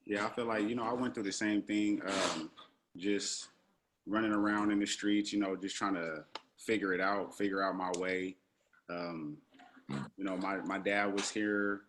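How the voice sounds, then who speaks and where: Man in his thirties, very deep voice, halting answers, faint background noise. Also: steady tone. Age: 30s. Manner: halting, lots of "you know". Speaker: guest. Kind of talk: casual interview answers.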